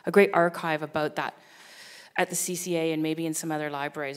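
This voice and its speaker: A woman panelist with a low voice talks on a stage into a handheld mic. She keeps a fairly flat, even tone and circles through her points, full of fillers and restarts. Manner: thoughtful, circling with many fillers. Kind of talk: round-table discussion. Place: large dark stage with audience.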